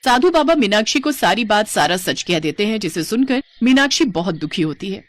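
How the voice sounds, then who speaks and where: A woman's voice: mid-range voice, sing-song, fairly quick. A woman working as a storyteller, narrating a scripted moral tale.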